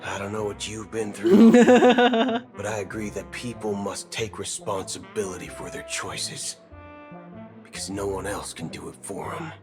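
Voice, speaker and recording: A man's voice: on the low side, very animated, a little slow, music in the background. A male actor voicing a brash, cynical cyborg cowboy gunslinger in a video game, with a swaggering drawl. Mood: firm, argumentative.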